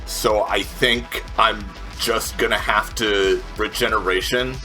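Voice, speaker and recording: A man chatting casually as a tabletop game player, thinking aloud through his choices in a halting way. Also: lowish voice, steady tone, bright, forceful tone, low-quality recording. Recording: music playing behind the voice. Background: music.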